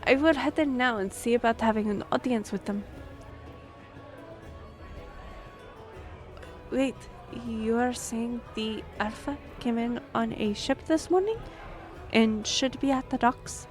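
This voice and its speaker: A woman's voice: on the high side, normal speed, faint music behind, close to an everyday voice. A woman playing a polite, flustered young half-elf royal liaison in a fantasy audio roleplay, hesitant and apologetic. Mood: grateful.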